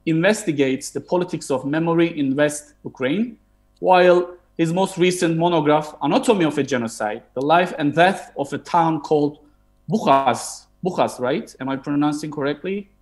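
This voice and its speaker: A man in his thirties with a Turkish accent speaks over a video call from his home. He hosts a book talk in a hesitant way, full of fillers and restarts. His voice sits a little high for a man. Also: normal speed. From Turkey. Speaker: historian and host. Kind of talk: moderating an online book talk. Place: home room, indoors.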